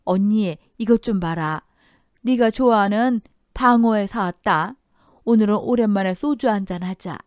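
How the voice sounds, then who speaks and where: A woman voicing a chatty, emotional older aunt who speaks high and loud. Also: normal speed, dry recording, clean studio sound, close to an everyday voice. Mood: cheerful.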